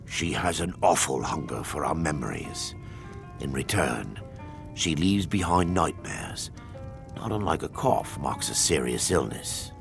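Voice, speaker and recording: A grave priest from a fantasy video game. His very deep voice moves expressively through formal, measured phrases. He sounds earnest and soothing, with music playing behind him. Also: vocal fry, exaggerated voice. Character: grave, soothing male elven priest keeping secrets.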